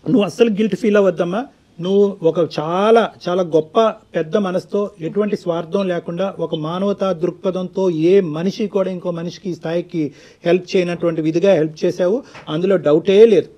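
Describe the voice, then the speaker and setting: Fast, high-pitched man counselling. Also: fairly even intonation, warm tone. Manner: emphatic, long-winded, mixes in English phrases. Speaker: counsellor on a talk show. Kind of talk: earnest counselling advice.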